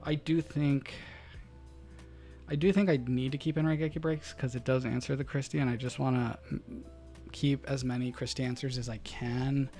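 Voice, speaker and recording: Gravelly man thinking aloud at cards. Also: mid-range voice, normal speed, soft background music. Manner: muses over choices, bursts into loud excited laughs. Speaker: card game streamer. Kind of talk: thinking aloud while playing, talking to chat.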